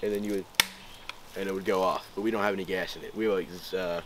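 A young man with a lowish voice casually explains how a homemade project works. He is outdoors, picked up by a camera a few metres off, with faint background noise. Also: fairly even intonation, fast talker. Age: young adult. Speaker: student demonstrating a homemade project. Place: outdoors in woods. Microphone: camera a few metres away.

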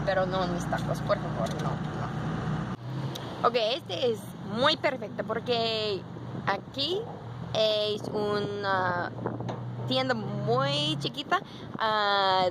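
Slow, halting young woman learning Spanish. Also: mid-range voice, animated, noisy background. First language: English. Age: young adult. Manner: slow and halting, with many fillers. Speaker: vlogger. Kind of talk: casual vlog in learner Spanish. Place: car, restaurant, parking lot and grocery stores. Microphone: handheld camera at arm's length.

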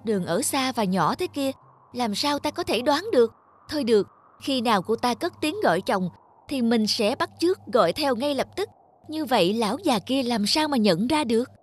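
A woman voicing a scheming, covetous snake demon disguised as a young wife in an animated folktale. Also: on the high side, moderate pace, slightly rough. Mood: scheming, calculating. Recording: music under the voice.

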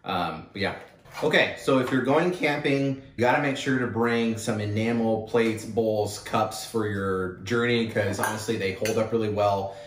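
A man's voice: fairly low pitch, steady tone, normal speed, a little hiss or hum. A young man hosting a casual, upbeat product haul vlog, with plenty of fillers. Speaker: channel host. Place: indoor room with wood-panel wall. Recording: roomy echo, mic at a distance.

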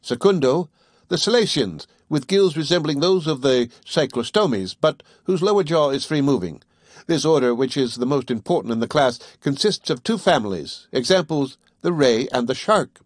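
A man voicing a fussy, pedantic male servant who lectures in a precise, drawn-out way. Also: medium pitch, lively intonation, dry recording, studio quality, pitched above the performer's own voice, natural voice. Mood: lecturing. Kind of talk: audiobook character voice.

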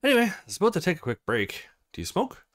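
A man plays a young woman who works at a photo studio in a visual novel. She is casual and breezy, with a very changeable pitch. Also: wildly swooping pitch, fast talker. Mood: curious.

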